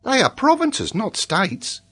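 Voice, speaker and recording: A man's voice: very high-pitched, wildly swooping pitch, slow, fairly bright tone, husky, pitched above the performer's own voice, heavily acted. A man voicing an earnest, confident young native boy in a storybook, reciting his lessons matter-of-factly. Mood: earnest, assured. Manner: matter-of-fact, reciting in lists.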